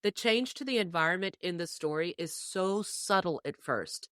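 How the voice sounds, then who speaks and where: A woman podcast panelist casually discussing a folk tale, telling it in an expressive storytelling way. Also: on the low side, normal speed, dry recording, studio quality.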